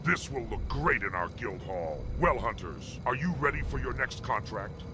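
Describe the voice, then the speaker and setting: A man voicing a hearty, scheming orc hunting guild master in a fantasy game, with animated delivery. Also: medium pitch, normal speed, noisy background, close to an everyday voice. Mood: gleeful. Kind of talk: video game character dialogue.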